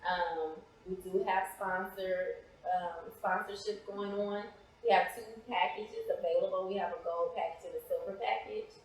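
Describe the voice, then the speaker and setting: A woman's voice: medium pitch, steady tone, normal speed. An American woman answering interview questions conversationally, in a reflective, earnest way. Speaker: interview guest, playwright and author. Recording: very echoey, reverberant room.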